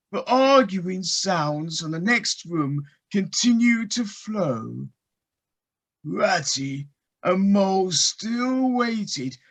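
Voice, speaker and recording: Very slow-paced woman narrator. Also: lowish voice. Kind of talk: scripted story narration. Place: living room, recorded over video call.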